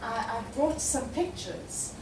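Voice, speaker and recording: A woman hosting an informal live interview in front of an audience, with a loud, chatty way of speaking. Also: on the high side, slow, echoey room, distant mic, noisy background. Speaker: event host interviewer.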